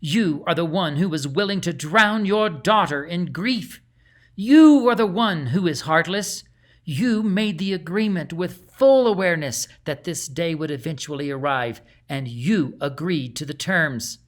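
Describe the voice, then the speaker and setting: A man's voice: on the high side, expressive, measured pace. A man voicing a menacing aging sorceress in a storybook, hollow and callous, commanding and mocking. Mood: accusing.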